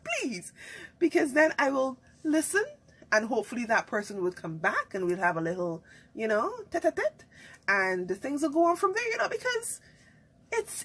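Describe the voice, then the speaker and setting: A woman's voice: high-pitched, fairly bright tone, slightly rough. A woman who hosts a live online talk show. She chats casually, greets viewers and laughs often.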